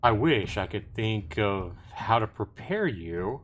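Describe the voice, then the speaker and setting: A man reading the part of a reserved, courteous elf scout in a visual novel, measured, hesitant and polite. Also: fairly low pitch, very animated. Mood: grave, guarded. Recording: music behind the voice.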